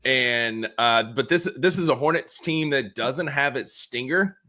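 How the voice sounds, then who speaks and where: Bright-voiced man talking sports. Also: medium pitch, unhurried. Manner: rambling, animated, many fillers and restarts. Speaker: podcast co-host. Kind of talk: casual sports talk.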